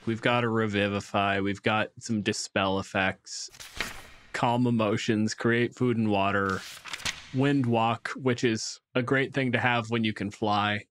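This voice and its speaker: A man's voice: on the low side, steady tone, measured pace, a bit bright, slight background noise. A man hosting a casual podcast discussion in an informal, wry way. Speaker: podcast host.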